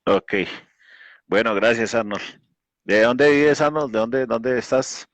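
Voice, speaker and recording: A man with a Colombian accent introducing himself as a lay debater in a religious debate, unscripted, measured and conciliatory, repeating phrases. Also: mid-range voice, no echo. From Colombia, Boyacá.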